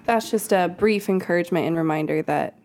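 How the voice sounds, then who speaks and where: A woman's voice: on the low side, a little fast, darkish tone. A woman, a children's pastor, talking casually on a podcast and reading scripture aloud, with ums and laughing asides. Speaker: kids' pastor. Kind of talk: casual podcast Bible study.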